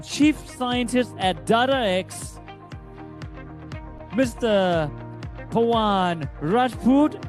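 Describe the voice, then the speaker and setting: High-voiced male event emcee. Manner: formal, drawn-out name announcing. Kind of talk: event announcements. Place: conference hall.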